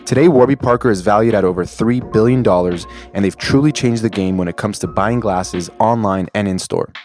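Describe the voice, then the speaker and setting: Low-voiced male podcast co-host intro. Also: a little mellow, soft background music. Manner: measured, scripted delivery. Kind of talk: read-out episode intro.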